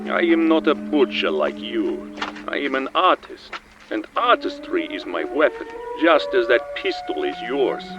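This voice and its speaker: An actor playing a pompous, theatrical Soviet assassin with a husky voice and Russian accent in a radio play. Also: male voice, mid-range voice, very animated. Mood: smug, menacing. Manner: pompous, deliberate. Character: smug, theatrical Soviet assassin who fancies himself an artist. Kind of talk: comic spy radio play. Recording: muffled recording with music behind.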